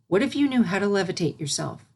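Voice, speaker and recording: A woman voicing a patient, gentle royal pony princess who teaches and consoles her pupil in a storybook reading. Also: mid-range voice, very animated, creaky, slightly rough, heavily acted. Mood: patient, teaching. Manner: measured, instructive, consoling.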